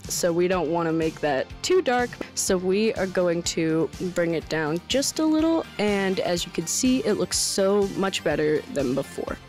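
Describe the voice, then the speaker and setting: A young woman presents a tutorial in an informal, slightly wry way. Her voice sits a little low for a woman. Music plays behind her. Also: big pitch swings, unhurried, few pauses, darkish tone. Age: young adult. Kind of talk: video editing tutorial narration. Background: background music.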